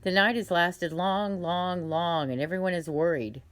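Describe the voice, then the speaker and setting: A woman voicing a commanding male lion king who questions the animals in a loud, formal way, in a storybook read-aloud. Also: lowish voice, fairly even intonation, normal speed, clean tone, lightly acted. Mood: stern.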